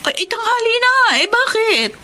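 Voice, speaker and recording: An actress playing a friendly, concerned older cousin in a radio drama, chatty and exclaiming. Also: female voice, extremely high pitch, sing-song, moderate pace, bright, forceful tone, full character voice. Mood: excited, concerned.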